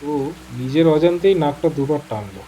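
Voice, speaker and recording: A man's voice: on the high side, lively intonation, normal speed, dark tone, soft background music, poor audio. A man narrating a horror story in a suspenseful, theatrical, dramatic manner.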